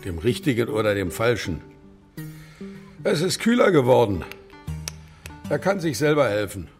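An actor playing a grumpy, solitary older gentleman in a children's radio play, with a raspy voice and halting, muttered fragments. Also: mid-range voice, big pitch swings, faint music behind. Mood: gruff, reserved. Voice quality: husky, raspy.

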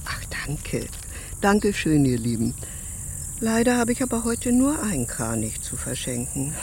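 A kindly elderly woman in a children's radio drama. Her low voice rises and falls freely and sounds affectionate. Insects chirp over clear background noise. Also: sing-song, unhurried, darkish tone, exaggerated voice. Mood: tender. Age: older. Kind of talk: German children's radio play acting.

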